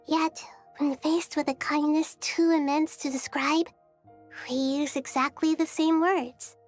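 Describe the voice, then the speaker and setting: Soft wise little girl in a game, really high voice, calm and thoughtful. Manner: gentle, thoughtful, measured phrasing. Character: gentle, wise, childlike young girl, serene and thoughtful. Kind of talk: video game character acting.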